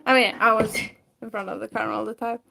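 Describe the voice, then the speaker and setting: A woman's voice: fairly high pitch, steady tone, fast, gravelly, noisy background. A woman in her twenties, a native Greek speaker, chatting casually and giggling on a livestream from a rural farmstead. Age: 20s. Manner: chatty and giggly, trailing off mid-sentence. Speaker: co-host of a homestead livestream. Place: rural farmstead, outdoors then indoors.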